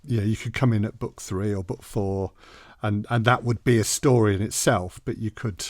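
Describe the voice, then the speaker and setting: Low-voiced man interviewing, dry close sound. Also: steady tone, moderate pace, darkish tone, no room sound. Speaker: podcast host and interviewer. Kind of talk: conversational interview questioning.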